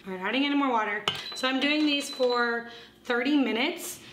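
A chatty woman in her thirties walks through her cooking plans, her voice a little high. She talks from across an echoey home kitchen, and pots and pans clatter loudly behind her. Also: normal speed, background noise. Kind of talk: casual talk to camera while cooking. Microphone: camera on a tripod across the kitchen.

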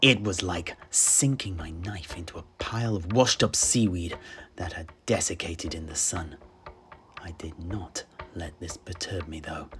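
Male storyteller, deep and unhurried, dramatic and deliberate first-person fantasy narration, chirping insects faintly behind. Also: fairly bright tone, slight background noise. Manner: theatrical, deliberate, long pauses. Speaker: storyteller, host.

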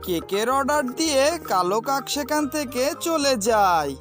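চড়া গলার নারী গল্পকথক. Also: female voice, high-pitched, expressive, moderate pace. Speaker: narrator. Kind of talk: scripted children's story narration. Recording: clear music behind the voice.

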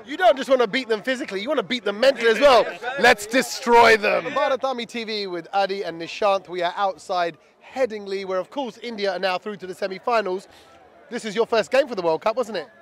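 A middle-aged man working as a fan-channel reporter, chatting in an animated way as he interviews sports fans on a handheld microphone outdoors. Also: high voice, fairly quick. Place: outdoors in a busy street outside a stadium.

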